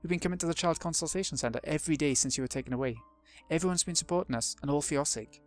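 Brief: a man reading an earnest boy, higher-voiced, reassuring and eager. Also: very fast, faint music behind, close to an everyday voice.

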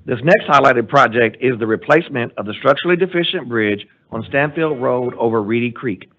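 A man gives a formal report at a board meeting, reading steadily from his slides. His voice sits in an ordinary middle pitch. The sound is thin and tinny, like a phone line. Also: animated, a little fast. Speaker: transportation department director presenting a report.

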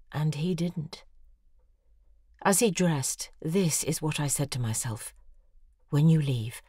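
An actress playing a lovelorn, obsessive woman, narrating an intimate audio drama in a hushed, measured, confessional way. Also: low voice, breathy edge, no room sound, studio quality. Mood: obsessive resolve. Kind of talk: intimate scripted first-person drama narration.

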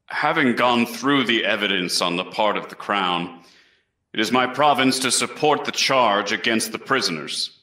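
A man's voice: medium pitch, bright, forceful tone. A man playing an earnest colonial Crown prosecutor, formally addressing a jury in a historical courtroom reenactment. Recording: echoey room.

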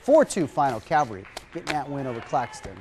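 A middle-aged man anchoring sports news in a TV studio, reading scripted stories and calling highlights. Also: big pitch swings, fairly quick, mellow, slight background noise.

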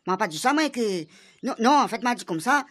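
A woman voicing Mamadou, an excitable, fussy man with a Réunion Creole accent in a radio comedy drama. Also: a little fast. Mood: teasing. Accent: Réunion Creole French. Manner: shrill, emphatic protesting.

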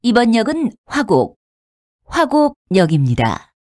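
A woman makes formal public transit announcements in a slightly high voice. Her intonation rises and falls in a lively way, each word carefully enunciated. The sound is dry and close. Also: slow, dry recording. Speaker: subway announcer.

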